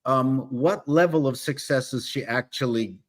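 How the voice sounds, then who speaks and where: A man's voice: medium pitch, normal speed. A middle-aged man giving opinions in casual chat as a stream panelist, with an emphatic manner.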